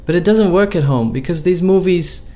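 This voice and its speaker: A man's voice: high-pitched, normal speed, mellow. A man co-hosting a casual chat, talking in a hesitant way with many fillers and restarts.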